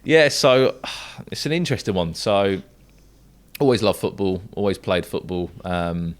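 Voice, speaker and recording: Deep-voiced young southern English man. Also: expressive, unhurried. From south coast of England. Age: young adult. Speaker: esports football commentator and podcast guest. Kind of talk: casual podcast interview.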